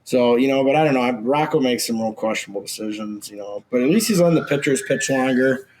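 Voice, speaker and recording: A man's voice: medium pitch, brisk. A man in his twenties co-hosting a casual sports podcast, his voice a little scratchy, talking brashly with lots of fillers and swearing. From Minnesota. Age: 20s. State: slightly scratchy voice. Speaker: podcast co-host.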